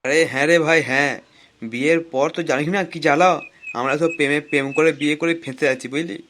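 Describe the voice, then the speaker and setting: A man's voice: fairly high pitch, steady tone, fast, a bit bright, a little hiss or hum, lightly acted. A man voicing a crude, bossy married elder-brother figure who grumbles and scolds in a comic cartoon. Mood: advising, jaded. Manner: scolding, foul-mouthed, complaining banter.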